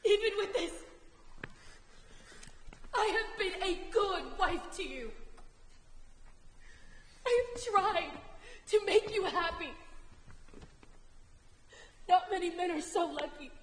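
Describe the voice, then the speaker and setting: A woman's voice: very high voice, lively intonation, slow, pauses often, a little hiss or hum, heavily acted. A woman playing a grieving, furious queen and mother in a stage tragedy, declaiming and pleading emphatically. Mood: bitter. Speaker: stage actor. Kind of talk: theatrical one-act Greek tragedy. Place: theatre stage indoors. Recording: echoey theatre hall, long reverb.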